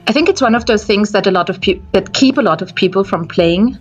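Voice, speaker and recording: A woman's voice: mid-range voice, animated, a little fast, highs cut off, faint music behind. A woman from Austria, speaking on a discussion panel about escape rooms and thinking aloud with restarts. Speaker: escape room enthusiast panelist.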